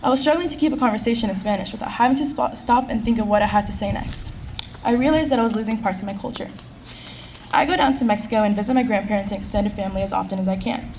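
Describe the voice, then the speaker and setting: A teenage girl, a high school senior, earnestly delivering a prepared personal speech at a school event. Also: a little mellow, a little hiss or hum, rough recording. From California. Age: teens. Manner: earnest, reading from a script at a quick clip.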